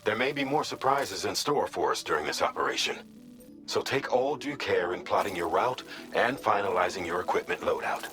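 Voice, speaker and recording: A man's voice: deep voice, lively intonation, normal speed, a bit bright, soft background music. An actor playing a businesslike mission briefer in a video game, formal, precise and composed as he lays out orders.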